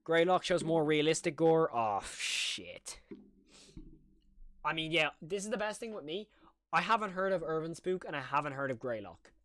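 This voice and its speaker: A man's voice: a little high, animated, moderate pace, fairly bright tone, no room sound, studio quality. A man giving casual, rambling live-stream commentary to his chat as a reaction streamer, informal and frank. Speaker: streamer reacting to horror videos.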